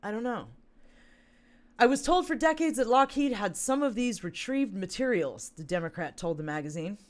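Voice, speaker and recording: Hoarse woman co-host reading news. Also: medium pitch, animated, normal speed. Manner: chatty, many fillers and throat clearing. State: hoarse, losing voice. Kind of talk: casual chat, reading news aloud.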